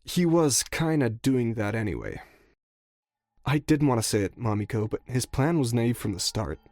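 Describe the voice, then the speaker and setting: A man's voice: medium pitch, sing-song, normal speed, a little mellow, dry recording, clean studio sound. A man playing a level-headed, frank young man in an audio drama, explaining things reasonably. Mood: reluctantly frank.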